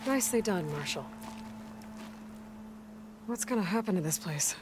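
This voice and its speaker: A woman's voice: fairly low pitch, expressive, normal speed, background noise, lightly acted. A young woman playing a determined, guarded game heroine with a terse, dry, plain-spoken delivery. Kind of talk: cinematic video game acting.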